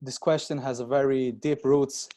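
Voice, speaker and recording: A man in his twenties who grew up in Italy, speaking slow, halting non-native English as a guest with many pauses and restarts. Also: medium pitch, little pitch movement, mellow. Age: 20s. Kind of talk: casual interview chat.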